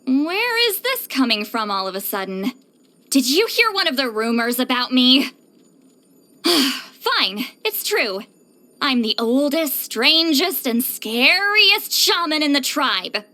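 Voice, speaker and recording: A woman's voice: high voice, pushed and bright, full character voice. A woman voicing a reclusive, grumbling shaman with a youthful voice in a video game, hesitant, self-conscious and dryly exasperated. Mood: awkward, puzzled. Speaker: video game character voice actress. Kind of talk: scripted game character monologue.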